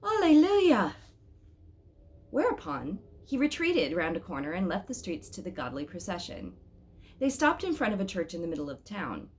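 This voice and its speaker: Woman doing a storybook read-aloud, slightly low voice with lots of up and down. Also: very animated, a little fast. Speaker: storybook reader. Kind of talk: expressive read-aloud narration.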